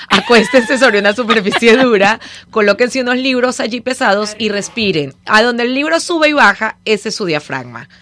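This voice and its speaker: A woman with a Venezuelan accent, a diction and voice teacher, speaking energetically and clearly as a guest on a radio show. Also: mid-range voice, a little hiss or hum. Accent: Venezuelan Spanish. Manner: energetic, articulate, promotional. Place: radio studio.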